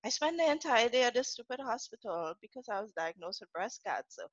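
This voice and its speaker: A woman's voice: a little high, very animated, fairly quick, no room sound, dull audio. A middle-aged woman from Trinidad with a Trinidadian accent, a podcast guest chatting casually and laughing through her story.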